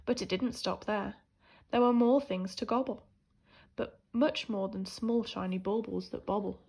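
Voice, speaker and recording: A woman teacher reading a children's picture book aloud in an animated, theatrical way, swinging between hushed and emphatic delivery. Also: mid-range voice, normal speed, creaky. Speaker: primary school teacher reading a story.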